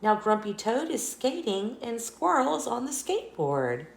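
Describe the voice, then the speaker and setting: A woman reading a children's story aloud, expressively and with deliberate phrasing for young listeners. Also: a little high, sing-song, gravelly, reverberant, a little hiss or hum. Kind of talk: scripted read-aloud storytelling for kids.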